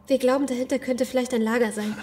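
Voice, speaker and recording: An actress playing a desperate, scheming captive survivor in a German audio drama, speaking with tense urgency. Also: female voice, on the high side, fairly even intonation, fast, darkish tone, slight background noise, lightly acted. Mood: hesitant, afraid. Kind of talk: German post-apocalyptic audio drama acting.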